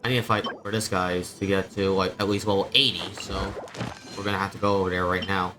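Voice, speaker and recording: A man's voice: sits low, little pitch movement, fast. A man streaming a video game, casually muttering and thinking aloud as he plays. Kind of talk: casual gameplay commentary. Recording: game music behind the voice. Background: video game music.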